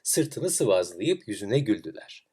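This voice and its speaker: A man narrating a literary fairy tale in a theatrical, expressive way with deliberate phrasing. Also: on the low side, slightly rough. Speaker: storyteller, host of a tale series.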